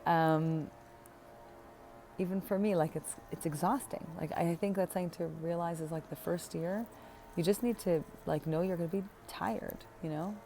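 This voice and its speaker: A woman's voice: fairly low pitch, fairly even intonation, moderate pace, warm tone, soft background music. A woman in her thirties, chatting casually in a personal vlog, rambling with fillers, self-corrections and light laughs. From United States. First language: English. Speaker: vlogger.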